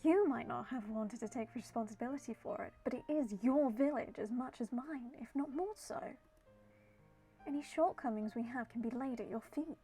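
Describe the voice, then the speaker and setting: A woman's voice: on the high side, normal speed, dark tone, soft background music, natural voice, rough recording. A woman voicing a defiant, ailing young villager in a game, speaking in a blunt, questioning way. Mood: accusing.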